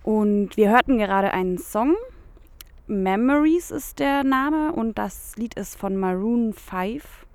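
A woman presenting a local radio show, reading her announcements clearly from a script. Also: medium pitch, lively intonation, slow, a little mellow, no room sound.

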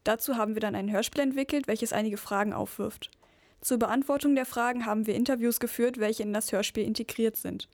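A woman's voice: a little high, steady tone, fairly quick. A teenage girl presenting on a school radio show, reading a segment introduction in an earnest, careful way. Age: teens.

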